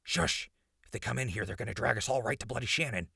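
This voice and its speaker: An actor playing a captured radio host in a post-apocalyptic audio drama, urgent and stubbornly hopeful, with a gravelly, husky voice. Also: male voice, medium pitch, quick pace, sharp tone, no room sound, clean studio sound, exaggerated voice. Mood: urgent, hushed.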